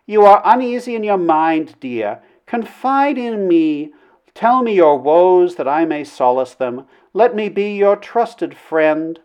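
A man's voice: high voice, very animated, slow, clear, resonant tone, lo-fi sound, voice pushed up, full character voice. A man voicing an amorous, coaxing princess in an audiobook, with a cooing, condescending manner. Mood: tender coaxing. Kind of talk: audiobook character dialogue.

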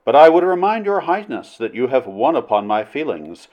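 Bold adventurer hero, voiced by a male reader: impassioned, archaic oratory, very animated pitch, slightly dull sound. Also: moderate pace, natural voice. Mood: defiant. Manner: impassioned, declamatory, archaic formal speech. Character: bold, cunning adventurer hero wooing a princess. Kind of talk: audiobook character voice in old adventure romance.

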